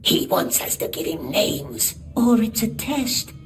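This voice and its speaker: An actor playing a small, wretched, fearful creature with a raspy, throaty voice, wheedling and talking of itself as "we" in broken grammar in a video game. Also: mid-range voice, big pitch swings, slow pace, faint music behind. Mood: anxious, scheming. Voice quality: raspy, throaty, whispery at times. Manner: speaks of himself as "we" and in third person, broken grammar. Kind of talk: video game character acting.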